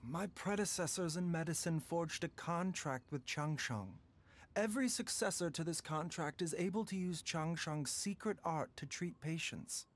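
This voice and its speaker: A man voicing a calm, courteous young doctor who is unwell and weakened, in a video game. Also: on the high side, a little hiss or hum, natural voice. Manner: calm, courteous and soft-spoken. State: coughing, weakened. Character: gentle, frail young male doctor hiding illness.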